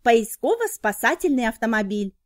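A woman reads scripted educational narration for children, explaining in short, clearly enunciated phrases. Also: fairly high pitch, big pitch swings, moderate pace, no echo, studio quality.

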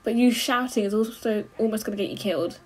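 A young adult woman giving casual, expressive running commentary as she reacts to a film, full of exclamations. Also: fairly high pitch, quick pace, slightly rough, faint music behind, low-quality recording. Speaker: movie reaction host. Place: small bedroom.

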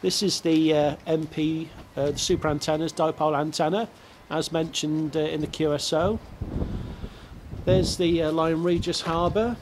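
Casting: British male radio hobbyist, fairly high-pitched, hesitant and chatty, recorded outside with some background noise. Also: steady tone, moderate pace, darkish tone. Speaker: amateur radio operator. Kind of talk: casual radio contact and outdoor video commentary. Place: outdoors on a seaside rooftop. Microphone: video camera near the speaker. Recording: outdoor rooftop with clear background noise.

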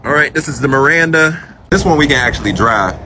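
A man's voice: on the high side, expressive, a little fast. A man in his thirties casually joking with his family while touring RVs. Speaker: husband in family vlog. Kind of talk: casual chat. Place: RV dealership lot and trailer interiors. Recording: noisy handheld phone audio.

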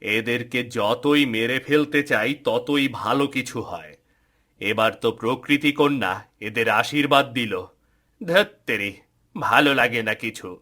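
A man's voice: mid-range voice, measured pace, sharp tone. A man playing a bitter, scheming minister in a fantasy story, muttering in frustration. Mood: sour, thwarted.

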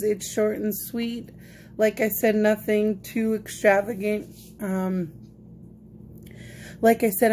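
Slow-paced young woman vlogging casually. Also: mid-range voice, a little mellow. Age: young adult. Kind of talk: casual grocery haul vlog chat. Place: small indoor home kitchen. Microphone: phone close at arm's length. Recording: noticeable noise on phone recording.

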